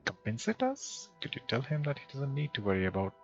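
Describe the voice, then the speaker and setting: A man playing through a fantasy game, reading the dialogue aloud and adding casual remarks, with a gravelly voice. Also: mid-range voice, sing-song, a little fast, muffled recording. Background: game music.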